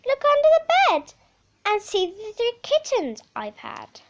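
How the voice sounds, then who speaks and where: A woman's voice: very high-pitched, wildly swooping pitch, a little slow, voice pushed up, heavily acted. A woman voicing a friendly, motherly female cat from a storybook, speaking eagerly and emphatically.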